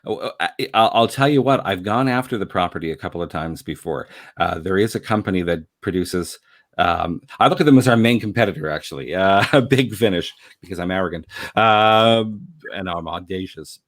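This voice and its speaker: A man's voice: low voice, a little fast, a bit bright, no echo, studio quality. A man from Canada chatting casually in an effusive, rambling way, often repeating words as he goes. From Canada, grew up in Montreal. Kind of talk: casual conversational chat.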